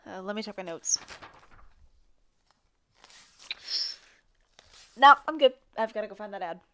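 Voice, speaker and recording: A woman's voice: mid-range voice, expressive, fast, natural voice. An actress playing a sardonic, reluctant woman co-hosting small-town radio, with a blunt, grudging delivery. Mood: dry, reluctant. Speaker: radio co-host. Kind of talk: scripted radio announcing with sarcastic asides.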